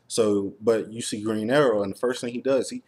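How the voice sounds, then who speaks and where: Male host, lowish voice, rambling and enthusiastic, informal review discussion. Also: steady tone, a little fast, a little mellow. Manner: rambling, enthusiastic, filler-heavy.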